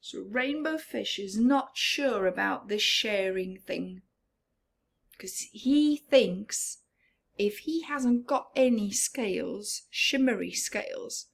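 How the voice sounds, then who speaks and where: A woman reading a children's storybook aloud expressively, with chatty asides and often hushed tones. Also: on the high side, vocal fry. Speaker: storyteller, teacher-like reader.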